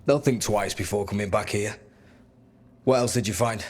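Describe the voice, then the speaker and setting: A man's voice: lowish voice, normal speed, close to an everyday voice. A man playing a wary, skeptical rebel informant in a fantasy video game, guarded and matter-of-fact. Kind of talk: dramatic video game acting. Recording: faint music behind.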